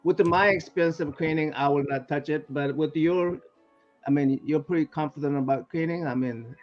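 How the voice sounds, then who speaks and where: Korean-accented man, slightly high voice. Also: normal speed, dull audio, soft background music. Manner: hesitant, good-humored. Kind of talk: casual live-stream chat.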